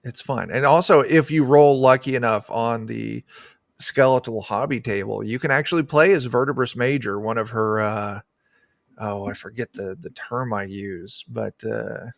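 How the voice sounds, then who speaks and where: A man talks casually as a game designer being interviewed. His pitch is average and he often stops to find his words. The sound is close and dry. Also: normal speed, vocal fry, no echo. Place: indoors, on a video call.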